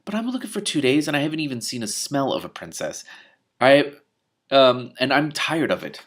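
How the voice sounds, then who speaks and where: A man's voice: mid-range voice, big pitch swings, normal speed, fairly bright tone, pitched above the performer's own voice. A man voicing a very young storybook dragon, naive, earnest, blunt and easily offended. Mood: petulant. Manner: blunt, fussy, childlike.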